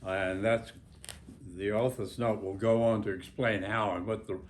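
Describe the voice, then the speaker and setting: An American man in his nineties, an author reading his own work aloud with a deliberate, halting delivery and pauses between short phrases. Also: lowish voice, little pitch movement. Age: 90s. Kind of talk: reading an author's note aloud to a small gathering.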